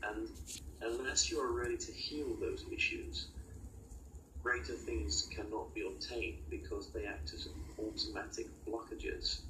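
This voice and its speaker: A man with a fairly low but bright, forceful voice speaks as a spiritual guide in halting, oracular phrases, pausing often. He sounds distant from the mic in a roomy space. There is noticeable noise on the recording. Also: level tone. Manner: halting, short phrases with many pauses, oracular. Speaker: channeled guide speaking in a session. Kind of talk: spiritual channeling monologue.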